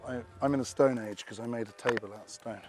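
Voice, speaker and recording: A man's voice: mid-range voice, quick pace, music in the background. A man who is losing his voice, chatting casually through a bike review to camera with rambling asides.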